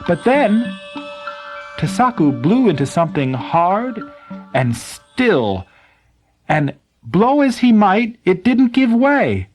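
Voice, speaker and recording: A man narrating a scripted bedtime story in an expressive, dramatic way, with pauses and emphasis. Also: sits high, soft background music.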